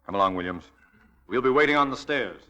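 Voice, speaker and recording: An actor playing a composed, courteous, sardonic detective in a 1940s radio drama, dry and measured. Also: male voice, mid-range voice, animated, bright, forceful tone. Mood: calm, resolute. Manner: dry, measured, politely mocking. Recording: muffled old 1940s radio broadcast.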